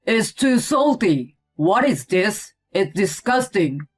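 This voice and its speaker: A woman's voice: medium pitch, very animated, slow pace, slightly rough, exaggerated voice. Story role: grumbling, indignant male picky eater, female performer, whiny delivery. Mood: disgusted. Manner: indignant, complaining. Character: grumpy, whiny grown man, a picky meat-loving eater. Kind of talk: acted story dialogue.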